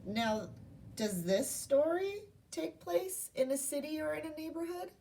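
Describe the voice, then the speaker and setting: A woman's voice: sits high, animated, a little slow, dark tone, recorded from a distance, slight background noise. A woman teacher giving a read-aloud lesson to young children, speaking in simple instructional phrases and asking questions. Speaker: primary school teacher.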